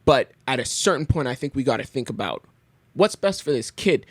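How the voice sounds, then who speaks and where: A young man from Canada, a podcast guest, talking things through in a thoughtful, halting way with many restarts. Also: mid-range voice, animated, no echo. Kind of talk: casual conversation.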